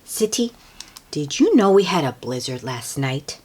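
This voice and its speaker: A woman voicing an eager, excited young granddaughter in a children's storybook. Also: low voice, measured pace, slightly rough, full character voice. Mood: chatty, eager. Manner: exclaiming, enthusiastic.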